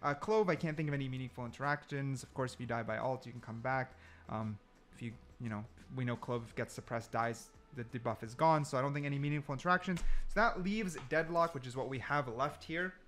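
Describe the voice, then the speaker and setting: A man's voice: mid-range voice, fairly quick, a bit bright. A man giving casual, slangy gameplay commentary, thinking aloud as he goes. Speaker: gaming content creator.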